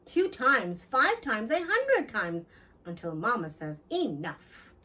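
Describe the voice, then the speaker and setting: A woman reading a children's storybook aloud in an expressive, deliberate storytelling manner for young listeners. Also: a little high, far from the mic. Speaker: children's book read-aloud host. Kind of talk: scripted storybook narration for children.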